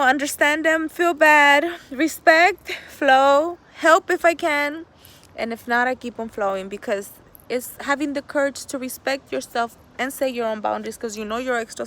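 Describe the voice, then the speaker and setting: High-voiced woman talking outdoors. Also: fairly bright tone, slight background noise. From El Salvador. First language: Spanish. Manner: earnest, rambling, swears freely, laughs often. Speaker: vlogger. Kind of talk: casual motivational monologue. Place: outdoors in nature.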